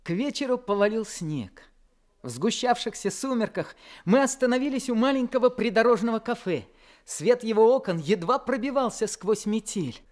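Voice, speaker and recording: A man's voice: high voice, expressive, moderate pace. A young man narrating earnestly in an old radio drama. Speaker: narrator and teenage hero. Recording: old radio play with music.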